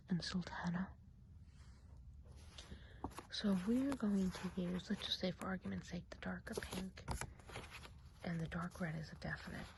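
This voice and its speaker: A woman playing a friendly, chatty witch of the woods in an ASMR roleplay, whispering softly and breathily in an unhurried, musing way. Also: on the low side, a little hiss or hum. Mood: gentle, focused. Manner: unhurried, gossipy, hesitant musing.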